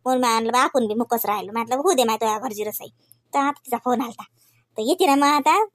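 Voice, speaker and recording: A woman's voice: on the high side, quick pace, no room sound, close to an everyday voice. A woman voicing a talkative, fussing village grandmother in a comedy cartoon, rambling and folksy, with a Varhadi Marathi accent. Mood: chatty, gossipy. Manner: rambling, folksy, retelling conversations. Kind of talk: homemade comedy cartoon dialogue.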